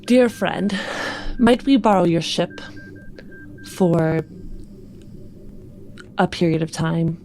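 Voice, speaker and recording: A woman's voice: on the low side, slow pace, pauses often, darkish tone, slight background noise. A woman playing an earnest, polite space traveler in improvised tabletop roleplay, plain-spoken and hesitant.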